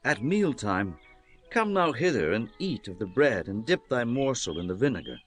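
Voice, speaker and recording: A man's voice: mid-range voice, sing-song, normal speed, soft background music, lightly acted. A man playing a kindly, solemn biblical landowner in a dramatized scripture audiobook, with formal, declamatory delivery. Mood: gently commanding. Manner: declamatory, formal archaic speech.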